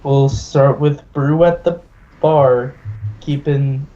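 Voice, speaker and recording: A man narrating a tabletop game as game master, in a halting, deliberate way. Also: mid-range voice, steady tone, dark tone, lo-fi sound.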